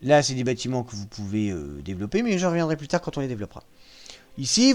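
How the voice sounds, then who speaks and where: A man casually walking viewers through a strategy video game, joking at his own expense and pausing often with fillers. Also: a little high, a little mellow. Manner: self-mocking, hesitant with frequent fillers. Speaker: gaming channel host. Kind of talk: casual gameplay walkthrough.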